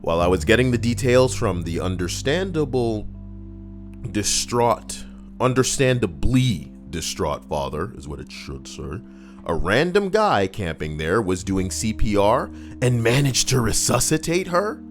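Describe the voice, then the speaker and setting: Male streamer, deep and lively in pitch, casual reader who comments as he goes, guitar music behind. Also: unhurried. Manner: relaxed, chatty, reacts aloud to what he reads. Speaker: streamer reading stories aloud. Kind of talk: casual livestream reading and chat. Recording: guitar music playing underneath.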